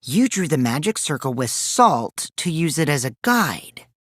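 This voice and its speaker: A man's voice: on the high side, big pitch swings, a little slow, fairly bright tone, no room sound, clean studio sound, full character voice. A man voicing a mischievous, taunting teenage boy who lies with glee, in theatrical anime video game style. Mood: calm, reasoning. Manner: theatrical, swinging from gleeful mockery to cold remarks.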